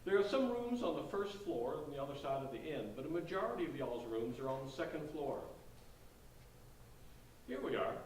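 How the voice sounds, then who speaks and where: A man's voice: a little high, very animated, a little fast, darkish tone. A man playing a courteous, folksy real estate agent giving a sales tour in a staged play reading. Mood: courteous, selling. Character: polite Southern real estate agent showing an old inn. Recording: echoey hall, distant mic, faint noise.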